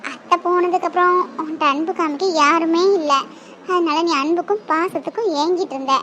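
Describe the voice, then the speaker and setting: A woman's voice: very high-pitched, lively intonation, normal speed, fairly bright tone, a little hiss or hum. A woman playing a young woman who pleads with her drunk lover, tearful and half-laughing. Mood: coaxing.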